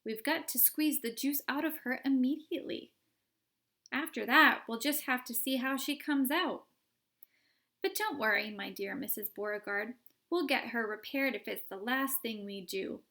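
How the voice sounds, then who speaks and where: A woman's voice: a little high, moderate pace, pushed and bright. A woman voicing an eccentric male candy maker who talks in an airy, unbothered way. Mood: breezy.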